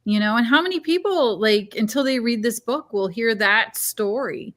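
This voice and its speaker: A woman's voice: fairly high pitch, moderate pace, a bit bright. A woman co-hosting a casual book chat, enthusiastic and full of compliments. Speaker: book club host. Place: live online video chat.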